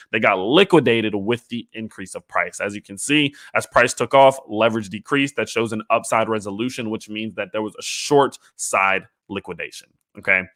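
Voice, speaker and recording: Young guy, voice kinda raspy n hoarse, laid-back livestream chat, keeps saying okay?. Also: mid-range voice, a bit bright. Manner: conversational, hedging, often ends with "okay?". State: slightly hoarse from yelling. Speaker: crypto channel host. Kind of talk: casual livestream market commentary.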